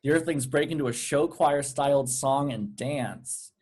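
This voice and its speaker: Slightly high-voiced man reading stage directions. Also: unhurried, darkish tone. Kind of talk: scripted narration at a table read.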